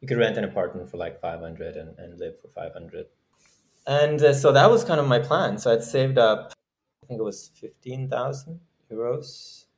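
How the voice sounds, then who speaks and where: A man from Norway speaking casually as an interview guest, halting as he thinks aloud. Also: mid-range voice, animated. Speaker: guest, startup founder.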